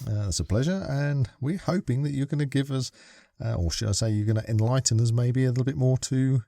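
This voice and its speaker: A man's voice: mid-range voice, fairly quick, vocal fry, warm tone, no room sound, clean studio sound. A man hosting a podcast, interviewing a guest casually and hesitantly. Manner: hesitant, many ums and uhs. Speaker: podcast host.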